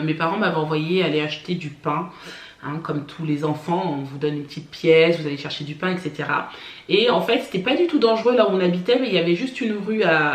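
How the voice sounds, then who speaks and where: A woman born in France, telling personal stories to camera for her family vlog in a chatty way, often pausing on "euh" and "voilà". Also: fairly low pitch, roomy sound. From France (born in Paris). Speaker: family vlogger. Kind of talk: casual personal storytelling to camera.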